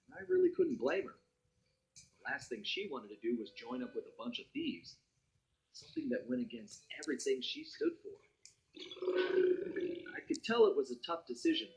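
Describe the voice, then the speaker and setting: Casting: easygoing raccoon thief narrator in a cartoon game, conversational and wry, highly varied intonation. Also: male voice, creaky, heavily acted, low-quality recording. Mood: wry, confident. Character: roguish anthropomorphic raccoon master thief, adult male, easygoing. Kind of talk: scripted first-person story narration for a cartoon heist game.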